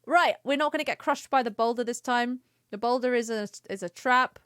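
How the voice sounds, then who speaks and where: A woman's voice: on the high side, a little fast, fairly bright tone, smooth, dry recording, clean studio sound. A woman giving casual, chatty livestream commentary on a video game, playful and given to sing-song exclamations. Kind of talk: casual gaming stream commentary. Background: faint music.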